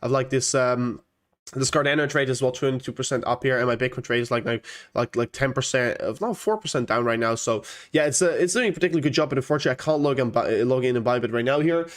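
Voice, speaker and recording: Young Dutch-accented man hosting a live stream, rambling and chatty, with a middle-pitched voice. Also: quick pace. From Netherlands. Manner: rambling, many fillers and restarts. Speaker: crypto livestream host. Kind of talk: casual livestream chat reading viewer questions.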